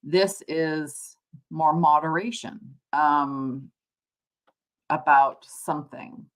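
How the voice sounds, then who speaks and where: Woman w a low voice doing a chatty tarot livestream, reflective, kinda rewords stuff as she explains. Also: very slow. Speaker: tarot reader, livestream host. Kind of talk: casual live tarot reading, talking to viewers.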